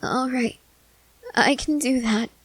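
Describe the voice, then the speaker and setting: A woman playing a timid, gentle newly turned vampire in an ASMR roleplay, speaking hesitantly and haltingly. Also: high voice, no room sound. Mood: trusting, nervous. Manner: hesitant, halting, soft-hearted.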